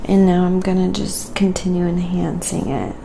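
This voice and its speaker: A woman hosting a quiet ASMR painting livestream, giving an unhurried, murmured running commentary on her own work and chatting with viewers. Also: fairly low pitch, creaky, slight background noise. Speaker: live-streaming painter and host.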